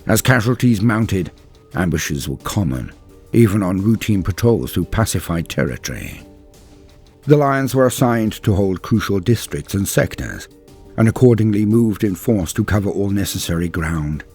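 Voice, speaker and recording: A man with a fairly low, husky voice delivers scripted, dramatic lore narration. His delivery is grave and measured, in the formal wording of a storyteller, with faint music playing behind him. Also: very animated, a little mellow. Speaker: narrator of a science fiction lore channel. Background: background music.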